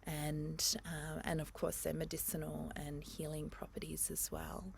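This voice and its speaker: A woman hosting a conversation, speaking gently and hesitantly with soft fillers. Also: sits low, monotone, unhurried, creaky, slight background noise. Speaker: host. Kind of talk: reflective casual talk.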